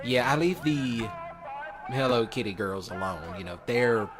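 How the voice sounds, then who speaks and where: A man's voice: medium pitch, expressive, measured pace, over music. A man in a casual, rambling livestream rant, repeating himself and addressing his audience as y'all and lads. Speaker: livestreamer doing a let's play. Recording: noisy with car engine sounds, uneven volume. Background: car and vehicle sounds from racing game.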